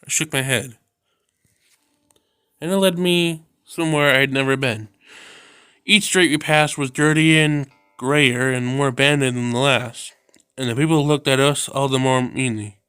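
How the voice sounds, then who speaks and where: Man calmly reading a visual novel. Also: mid-range voice, unhurried, smooth, no room sound, clean studio sound. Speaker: video game narrator.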